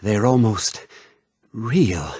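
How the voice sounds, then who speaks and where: A man's voice: fairly low pitch, lively intonation, very slow, a little mellow, breathy edge, no echo, exaggerated voice. An actor playing a tired, troubled townsman in a fantasy game, speaking in a subdued way. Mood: tired, uneasy. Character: tired, troubled townsman sharing local news.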